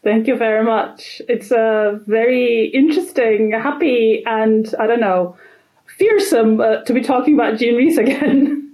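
A woman's voice: on the high side, measured pace, a little mellow, poor audio. A woman from Turkey, speaking conversationally as a guest in a podcast interview. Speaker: podcast guest, literature lecturer.

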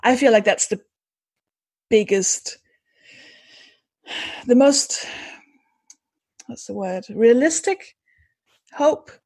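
A woman's voice: fairly high pitch, animated, very slow, long pauses, a little mellow, husky, dry recording. Chatty woman host in a dry recording. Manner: chatty, trails off and restarts, laughs often. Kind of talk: casual conversation.

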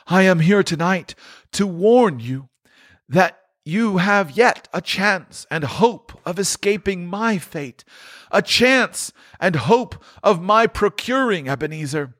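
A tormented old ghost dragging his chains, his voice pitched high and strained as he wails out his regrets in long, drawn-out lines, as a male narrator puts him on. The sound is close and dry, with no room around it. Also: expressive, fairly bright tone, dry recording, exaggerated voice. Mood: solemn. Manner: declaiming, drawn-out lament.

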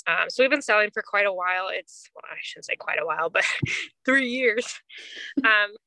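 A woman in her thirties with a bright, forward voice, co-hosting a podcast. She talks informally and pokes a little fun at herself. The sound is dry and close, with very little room in it. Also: mid-range voice, expressive, normal speed, no room sound, rough recording. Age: 30s. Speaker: podcast co-host. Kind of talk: casual chat.

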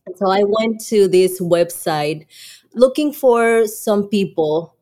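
A woman's voice: mid-range voice, slow, dark tone. A Mexican woman, a native Spanish speaker and language teacher, chatting casually as a guest in an interview. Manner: friendly, laughs between thoughts.

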